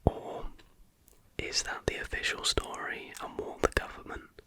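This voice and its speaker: An ASMR host reading factual material aloud in a breathy, unvoiced whisper with a measured, hushed delivery.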